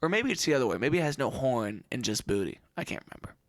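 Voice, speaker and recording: A man hosting a podcast in a loose, rambling way, joking and swearing casually. His pitch sits in the middle for a man. He is close-miked in a dry, dead room. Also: very animated, quick pace, dry recording. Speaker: podcast host and musician. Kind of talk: casual chat about music gear.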